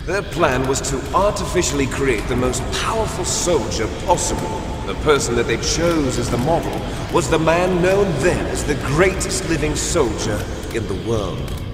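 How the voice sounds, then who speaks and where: A man playing a bitter, grandiose villain soldier in a video game, with a menacing, declamatory, taunting delivery full of dramatic pauses. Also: mid-range voice, animated, lightly acted. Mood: solemn exposition. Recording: echoey hall reverb under loud music.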